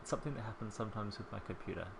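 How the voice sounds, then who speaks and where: A man in his thirties with a soft New Zealand accent, chatting casually as a voice-over while he builds a home in a life-sim game, rambling and self-deprecating. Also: on the low side, rapid-fire, vocal fry, background noise. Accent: soft New Zealand, softened by years in England. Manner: chatty, rambling, self-deprecating, with frequent ums and small laughs. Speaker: Sims speed-build creator.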